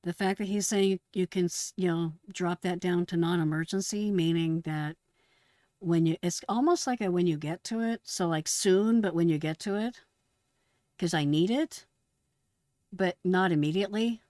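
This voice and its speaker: A middle-aged woman with a fairly low voice talks casually as she explains things. The sound is dry and close, with no room on it. She comes across as a host walking listeners through radio calls. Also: moderate pace, a little mellow, no echo. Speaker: host, retired emergency dispatcher.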